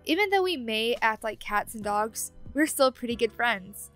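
A woman playing a friendly, teasing young ghost roommate in an ASMR roleplay audio drama, chatty and expressive. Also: fairly high pitch, moderate pace, faint music behind. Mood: chatty, candid.

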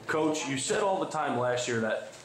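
A man's voice: medium pitch, animated, normal speed, music in the background. A man asking questions as a sports interviewer after a game. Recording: echoey room.

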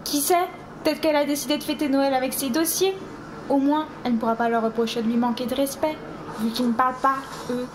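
An actress playing a sarcastic, resentful young daughter with an offhand manner in an audio drama. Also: female voice, sits high, fairly even intonation, quick pace, a little hiss or hum, close to an everyday voice, poor audio. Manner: sardonic, offhand. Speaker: voice actor in a fan audio drama.

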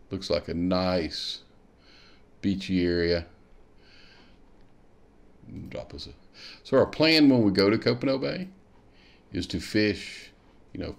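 Gravelly low-voiced man musing. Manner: thinking aloud, musing with hmms while clicking. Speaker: kayak fishing show host. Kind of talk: screen-share tutorial walkthrough.

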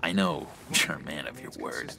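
An actor playing an eager, unhinged young schemer in a video game, halting and wheedling with sinister warmth. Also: male voice, rumbling low, gravelly, a crowd in the background, full character voice. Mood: insinuating, needling. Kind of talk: video game character acting.

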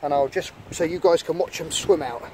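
A man's voice: fairly high pitch, fairly quick, a little mellow. A middle-aged man casually narrating a nature vlog outdoors at rock pools, chatty and enthusiastic as he handles sea creatures. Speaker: channel host. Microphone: camera held in hand at the shore. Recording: outdoor wind noise on mic.

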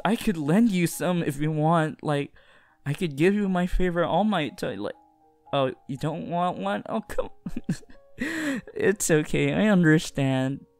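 A performer voicing a nervous, sweet teenage boy hero-in-training who stammers and mumbles in a fan roleplay audio. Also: mid-range voice. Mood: flustered, bashful. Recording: music behind the voice.

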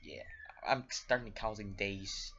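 A young man with a Filipino accent, talking casually to camera in a small room, halting and rambling with lots of fillers. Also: fairly low pitch, pushed and bright, dull audio, slight background noise. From Philippines. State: feeling unwell, voice off. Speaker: vlogger.

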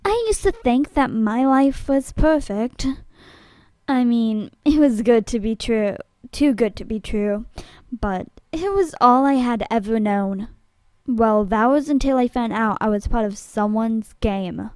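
A woman acting a bewildered, defensive girl in an amateur audition for a drama series, with dramatic, deliberate delivery. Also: fairly high pitch, darkish tone, clear, resonant tone, no echo, close to an everyday voice. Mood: wistful.

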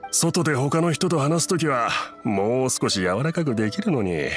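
An actor playing a kindly, easygoing middle-aged merchant in an anime game, speaking politely and expressively. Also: male voice, mid-range voice, animated, moderate pace, soft background music, lightly acted. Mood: rueful. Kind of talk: Japanese anime game voice acting.

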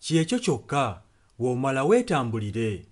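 A man's voice: medium pitch, very animated, normal speed, music in the background. A man interpreting a sermon, following the preacher phrase by phrase. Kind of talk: spoken and sung translation of a sermon.

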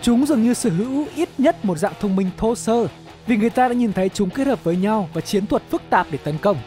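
A man narrating an animated explainer series as its host, in a scripted storytelling style. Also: sits high, fairly quick, faint music behind. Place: voice-over recorded close, with music behind.

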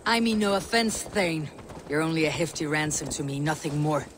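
A woman's voice: deep voice, lively intonation, normal speed, background noise. A woman playing a battle-hardened Viking warrior in a video game, with a curt, menacing manner. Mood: taunting. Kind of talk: dramatic game cutscene acting.

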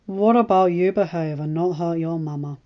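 A woman voicing a young male knight, earnest and defensive, in a low-key, warm-hearted way, read as a storybook. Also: moderate pace, natural voice. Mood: tender, teasing. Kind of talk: storybook reading. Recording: slightly dull lo-fi recording.

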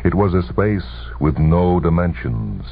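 A man giving a dramatic scripted narration in 1970s radio style, grave and intense, with deliberate phrasing. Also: low voice, big pitch swings, creaky, slightly rough. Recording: tinny, faintly noisy old radio recording.

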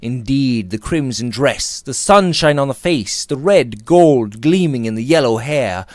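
Expressive man reading a Victorian novel, dry sound. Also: medium pitch, no echo. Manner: theatrical, measured, emphatic phrasing. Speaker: audiobook narrator. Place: small indoor room. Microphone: studio condenser microphone on a boom arm, close up.

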